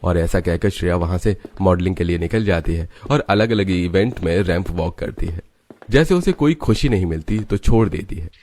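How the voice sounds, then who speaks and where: Low-voiced male cartoon narrator. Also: animated, moderate pace, a little mellow, slight background noise. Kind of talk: scripted story narration for a Hindi cartoon.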